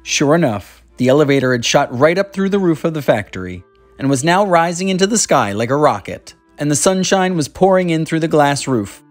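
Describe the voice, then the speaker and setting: An animated storybook narrator reading aloud for children. The voice sits at a middling pitch and moves up and down a lot, over faint music. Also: a little fast. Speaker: storybook reader.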